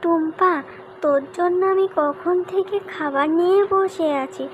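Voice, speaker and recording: A woman's voice: very high-pitched, unhurried, phone-quality sound, slight background noise. A woman voicing a caring, anxious mother in a children's cartoon story, with a fretful, coaxing, motherly manner. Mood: scolding.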